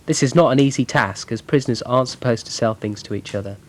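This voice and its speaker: Brisk man reading letters. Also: mid-range voice. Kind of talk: reading personal letters aloud.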